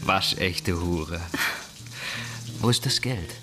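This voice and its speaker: A sneering, greedy hustler. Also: male voice, low-pitched, slow pace, sharp tone, heavily acted. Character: greedy, menacing young hustler.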